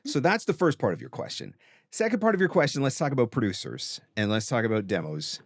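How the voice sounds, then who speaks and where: Low-voiced man giving conversational advice. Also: no room sound, clean studio sound. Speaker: host and voiceover coach answering a question.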